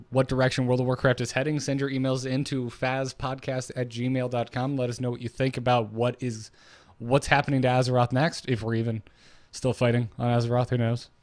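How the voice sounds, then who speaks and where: A man's voice: mid-range voice, no room sound. Man arguing casually on a close, dry podcast. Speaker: podcast co-host. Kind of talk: casual gaming podcast discussion.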